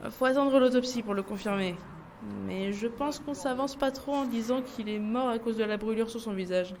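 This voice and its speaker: A woman playing a matter-of-fact police colleague briefing on a case in a French audio drama, brisk and businesslike. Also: a little high, a little mellow, echoey room, a little hiss or hum, natural voice.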